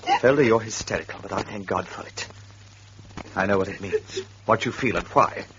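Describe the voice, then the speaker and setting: An actor playing a self-possessed, sardonic ex-convict husband with a cool, insinuating manner in old radio drama style. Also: male voice, on the low side, normal speed, fairly bright tone, slight background noise, lightly acted. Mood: commanding. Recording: muffled old radio recording.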